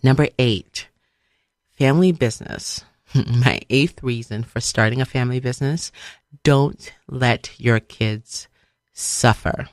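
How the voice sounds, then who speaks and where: A woman's voice: a bass voice, slow pace, no echo, clean studio sound. A middle-aged woman from Liberia giving a motivational radio talk, stressing points emphatically with repeated phrases. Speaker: radio show host, speaker.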